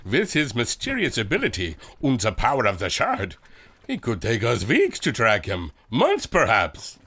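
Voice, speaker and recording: Man playing wildly expressive, courtly European ally. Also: medium pitch, moderate pace, exaggerated voice. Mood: grave. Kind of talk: old-time radio adventure acting.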